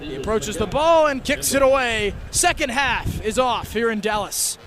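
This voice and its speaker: A young man calling live American football on radio as a student broadcaster, in clipped phrases that build into excited calls. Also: very high-pitched, a crowd in the background. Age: young adult. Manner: clipped, rapid phrases rising into excited shouts. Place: football stadium broadcast position. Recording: clear outdoor stadium noise behind.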